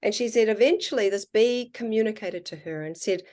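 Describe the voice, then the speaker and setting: A woman with a New Zealand accent, a podcast guest and water researcher, talking in an earnest, musing way and slowing down on her key points. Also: medium pitch, darkish tone. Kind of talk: casual conversational interview.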